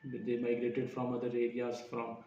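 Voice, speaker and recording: A man presenting his research findings, halting often with fillers and correcting himself mid-phrase. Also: mid-range voice, monotone, warm tone, slight background noise. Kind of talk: academic presentation. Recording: roomy, distant, dull lo-fi sound.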